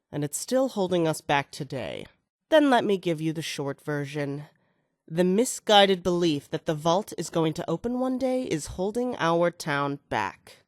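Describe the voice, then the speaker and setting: Self-assured scheming politician, dry close sound. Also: female voice, on the low side, dry recording. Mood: brisk, disdainful. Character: ambitious, scheming female politician running for mayor. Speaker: video game voice actor. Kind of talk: video game dialogue.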